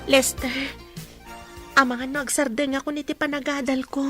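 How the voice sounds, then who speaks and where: A woman's voice: high voice, flat delivery, moderate pace, fairly bright tone, soft background music. A woman playing a young student daughter, earnest and pleading as she talks to her blinded mother. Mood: downcast. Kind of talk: radio drama acting.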